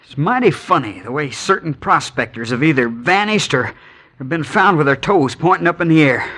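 A man's voice: on the high side, expressive, moderate pace, a bit bright. An actor playing a wounded young male gold prospector in a 1950s radio drama, earnest and a bit anxious.

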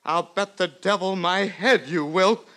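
An actor playing a cocky, scornful gambling rogue in a 1950s radio drama, bombastic and mocking in manner. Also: male voice, high-pitched, a little slow. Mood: affronted threat.

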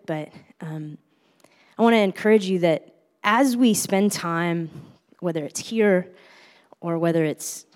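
An earnest young woman with a slightly low voice gives a reflective church teaching talk. She often restarts her phrases, and her voice carries a long hall reverb. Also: darkish tone. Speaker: speaker giving a church talk. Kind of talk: teaching sermon.

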